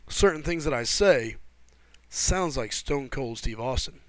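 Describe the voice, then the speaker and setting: Hoarse laid-back male game streamer. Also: mid-range voice, lively intonation, measured pace, no room sound. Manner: laid-back, greets viewers by name as buddy and brother. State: sore throat from a head cold. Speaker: livestream host. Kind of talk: casual live gameplay chat, reading chat aloud.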